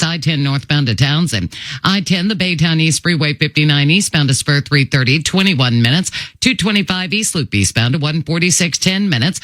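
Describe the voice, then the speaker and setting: A woman giving a radio traffic report, listing roads and drive times in a brisk, matter-of-fact way. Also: low voice, fairly bright tone, dry recording.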